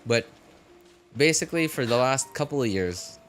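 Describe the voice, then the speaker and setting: A man in his thirties chatting casually as he streams a game, halting and repeating himself as he reminisces. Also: mid-range voice, animated, fairly bright tone. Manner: halting, reminiscing, many hesitant fillers and repeats. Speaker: livestreamer. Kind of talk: casual rambling chat while streaming a game. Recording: game music playing behind the voice. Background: video game music and character dialogue.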